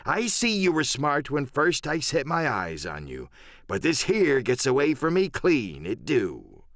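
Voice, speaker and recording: A man voicing an older pirate captain in an audiobook, sly and genial yet menacing, drawling sailor's slang in unhurried phrases. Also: mid-range voice, huge pitch swings, a bit bright, no room sound, clean studio sound, pitched above the performer's own voice, exaggerated voice. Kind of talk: audiobook radio-play character acting.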